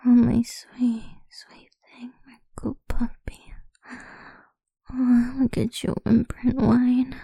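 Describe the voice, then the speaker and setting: A woman speaking in a soft, coaxing, mostly whispered voice for an intimate ASMR roleplay. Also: fairly high pitch, flat delivery, slow pace, vocal fry, slightly rough, dry recording.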